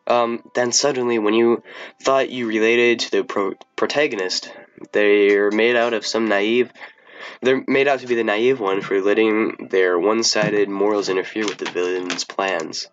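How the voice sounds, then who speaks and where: A man who says he is sick, reading the lines of a shy, bookish teenage girl in a quiet, hushed voice. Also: lowish voice, fairly even intonation, measured pace, highs cut off, natural voice.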